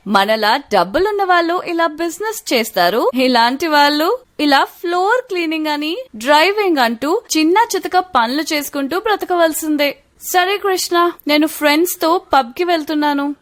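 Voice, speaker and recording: A woman's voice: sits high, expressive, moderate pace. A woman voicing a snobbish, dismissive rich young wife in a scripted moral story. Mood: haughty, dismissive. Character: haughty rich young wife who runs a boutique.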